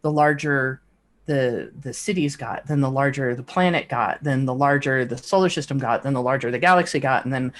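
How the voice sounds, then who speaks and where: A middle-aged woman, an author being interviewed, talking casually and reflectively, often halting to search for words. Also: very deep voice. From grew up between Athens, Greece and Alaska. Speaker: guest author. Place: home office, indoors, on a video call. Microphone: large desk microphone on a boom arm.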